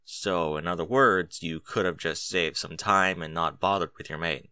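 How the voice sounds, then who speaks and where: A man's voice: low voice, steady tone, normal speed, bright, forceful tone, clean tone, no room sound, studio quality, voice pushed down. A man voicing a young male dragon sidekick in an audiobook story, casual and sarcastic. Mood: sardonic.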